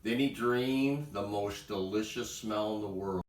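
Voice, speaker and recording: A man reading a children's bedtime story aloud in an expressive storytelling style. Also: on the low side, a little slow. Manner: expressive, acts out sound words. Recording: roomy echo, distant from mic.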